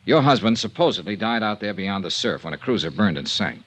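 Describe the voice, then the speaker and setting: An American man playing a dry, persistent insurance investigator in vintage radio noir style, with measured, matter-of-fact delivery. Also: fairly low pitch, very animated, no echo. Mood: firm, explanatory. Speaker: lead actor and narrator.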